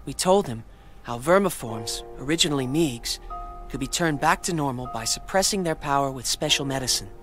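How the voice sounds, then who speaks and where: A man's voice: mid-range voice, expressive, brisk, a bit bright, faint music behind, close to an everyday voice. A man voicing an earnest, boyish young hero in a fantasy video game. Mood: solemn narration.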